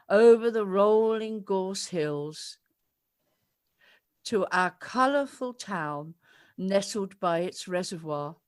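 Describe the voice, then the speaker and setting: A woman playing an old retired actress voicing her letter in an audio play. She speaks very slowly, in measured, eloquent phrases, fond and reflective throughout. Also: medium pitch. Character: elderly great-aunt, retired actress, affectionate and reflective.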